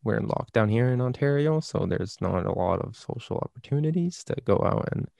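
A man's voice: medium pitch, animated, brisk, gravelly, a little mellow, no echo. A man co-hosting a casual podcast, chatty and prone to repeating himself. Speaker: podcast co-host.

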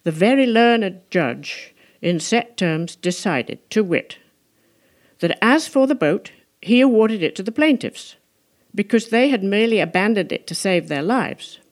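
A woman's voice: medium pitch, lively intonation, a little slow. Woman reading a classic novel aloud. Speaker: audiobook reader. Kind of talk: scripted literary narration, audiobook reading.

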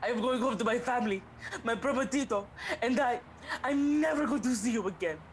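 A woman's voice: a little high, brisk, a little hiss or hum, natural voice. A young woman acting a melodramatic young heroine in a student comedy radio play, sprinkling in Spanish words. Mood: dramatic. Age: young adult. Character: young woman torn between duty and an old love.

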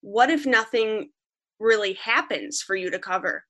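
Bright-voiced young woman telling a story. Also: medium pitch, measured pace. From Western New York. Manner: earnest, vivid storytelling, quoting others. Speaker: freelance journalist guest. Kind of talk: podcast interview, storytelling.

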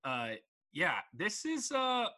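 A young man co-hosts a laid-back podcast with a bright, edgy tone. His voice sits slightly high. He jokes a lot and drops quick, animated asides. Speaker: co-host. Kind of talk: casual podcast chat.

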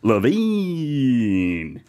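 Radio play DJ acted by a man: sly, matey Australian, stammering, mid-pitched. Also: wildly swooping pitch, extremely slow, warm tone, clear, resonant tone, exaggerated voice. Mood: announcing. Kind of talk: amateur radio play cold read.

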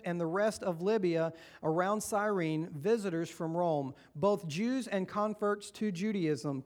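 A man with a Southern American accent preaching a sermon to a church, talking in a conversational way and often asking the congregation questions. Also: high-pitched. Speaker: pastor.

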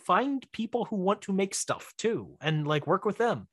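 A man's voice: high voice, expressive, normal speed, husky, dry recording, clean studio sound. A man chatting casually as a podcast guest, informal and prone to repeating phrases for emphasis. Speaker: guest, writer-director.

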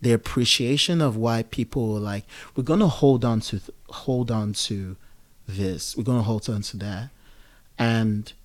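Guy in his 30s from nigeria, kinda low voice, rambly and reflective, lots of "like" and "you know". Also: measured pace. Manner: reflective, rambling, many fillers. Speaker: guest. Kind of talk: casual conversation.

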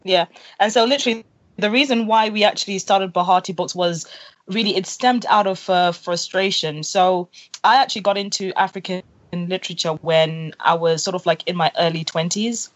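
A woman's voice: lowish voice, normal speed, no room sound. A young woman from Zimbabwe explains her path in a podcast interview, earnest and full of little fillers. The sound is dry and close, with no room around it. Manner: earnest, lots of fillers and "literally". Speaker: co-founder guest. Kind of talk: interview conversation.

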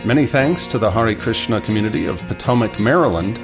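A man's voice: lowish voice, expressive, brisk, over music. A man with an American accent hosting a podcast and interviewing. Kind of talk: scripted podcast introduction and interviewing.

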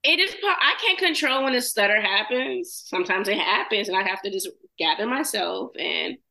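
A woman co-hosting a casual podcast, soft-spoken and hesitant, pausing often with "um". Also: mid-range voice, animated, creaky, poor audio. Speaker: podcast co-host. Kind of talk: casual group chat.

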